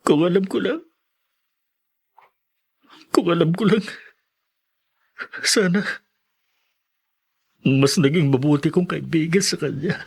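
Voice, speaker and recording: Husky, grieving young student. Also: male voice, fairly high pitch, slow pace, long pauses, exaggerated voice. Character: grieving young male student, loyal best friend. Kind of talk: podcast radio drama acting.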